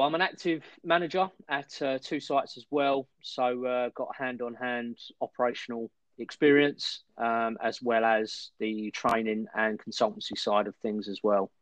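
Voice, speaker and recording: A man's voice: mid-range voice, no echo, dull audio. A man co-hosting a podcast over a video call, blunt and jokey with a dry sense of humor. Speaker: podcast co-host. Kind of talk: casual banter.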